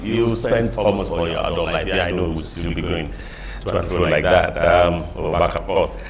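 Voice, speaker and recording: A man interviews a guest, asking probing questions in an easy, conversational way. His voice is very deep, and faint noise sits under the recording. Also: steady tone, moderate pace, creaky.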